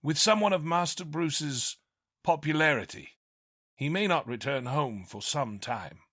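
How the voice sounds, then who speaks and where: A man's voice: on the high side, expressive, measured pace, a bit bright, no room sound, studio quality. An actor playing a polite, formal older male butler with polished diction.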